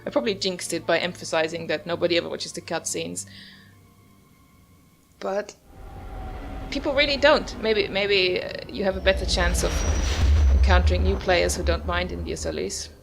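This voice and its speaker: A woman's voice: on the low side, moderate pace, vocal fry, long pauses. A woman hosting a gaming video, giving casual, musing commentary as she plays. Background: game music.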